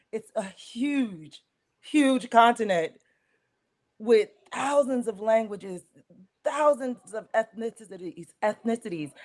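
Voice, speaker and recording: A woman's voice: fairly high pitch, darkish tone. A woman hosting a video-call panel who affirms her guests before moving on to the next question. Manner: affirming, upbeat moderator. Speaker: host. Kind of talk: panel moderation.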